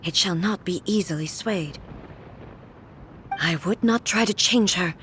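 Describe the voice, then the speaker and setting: A woman playing a solemn immortal warrior ruler in a video game, speaking in a formal, imperious, measured manner. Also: mid-range voice, fairly bright tone, close to an everyday voice. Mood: calm, resolute. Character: solemn female immortal warrior ruler and her stern puppet double. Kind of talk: video game voice acting, grand declamation. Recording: faint noise and ambience behind voice.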